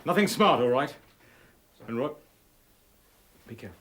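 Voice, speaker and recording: An actor playing a cautious senior police officer giving calm, authoritative orders. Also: male voice, medium pitch, normal speed, slight background noise, close to an everyday voice.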